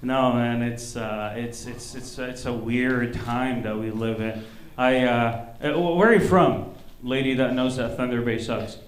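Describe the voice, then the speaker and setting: A man's voice: medium pitch, unhurried. Echoey male stand-up comic, casual and profane. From Egypt. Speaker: stand-up comedian. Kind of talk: stand-up comedy with crowd banter. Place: indoor open mic comedy venue. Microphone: stage microphone. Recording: echoey comedy club stage.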